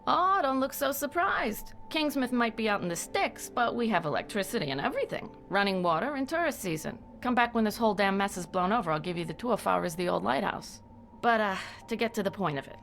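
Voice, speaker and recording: A woman's voice: mid-range voice, very animated, fairly quick, creaky, fairly bright tone, a little hiss or hum. An actress playing a wry, tough small-town policewoman in a video game, plain-spoken and folksy. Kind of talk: video game character monologue.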